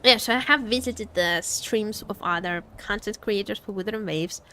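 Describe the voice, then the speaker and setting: A woman's voice: medium pitch, normal speed, pushed and bright, a little hiss or hum, rough recording. A woman chatting casually as she livestreams a game, playfully repeating her phrases to viewers.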